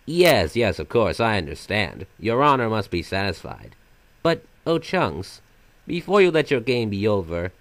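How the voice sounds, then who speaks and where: Guy doing a silly sinister magic jester-type game villain, super swoopy pitch, mock polite, real dry close recording. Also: a bit bright, no echo. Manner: theatrical, florid, mock-polite. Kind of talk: fan voice-over of video game villain lines.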